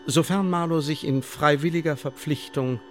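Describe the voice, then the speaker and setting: An actor playing a coolly bureaucratic secret service officer in a radio play, speaking in measured, formal officialese. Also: male voice, on the high side, faint music behind, natural voice. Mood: dry, official. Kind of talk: radio drama, dictated official report.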